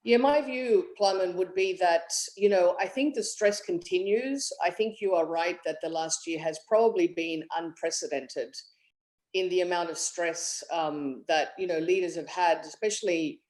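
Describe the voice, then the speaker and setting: A woman's voice: lowish voice, normal speed, a little mellow, low-quality recording. A woman in her late forties with an Australian accent, chatting upbeat as a guest in a virtual interview. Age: late 40s. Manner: chatty and upbeat, many fillers. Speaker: guest, tech executive.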